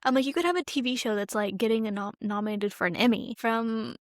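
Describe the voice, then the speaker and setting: A woman's voice: on the high side, fast, no echo, studio quality. A woman co-hosting a podcast, chatting casually and retelling things with acted-out reactions and lots of "like". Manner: animated retelling with quoted reactions, frequent "like". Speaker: podcast co-host. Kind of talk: casual chat about a TV show.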